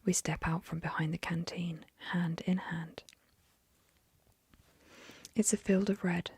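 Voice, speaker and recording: A young woman whispering an ASMR story reading into a close mic, softly, with gentle fillers. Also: lowish voice, steady tone, takes it slowly, husky, no echo. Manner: soft whisper, chatty with fillers after the story.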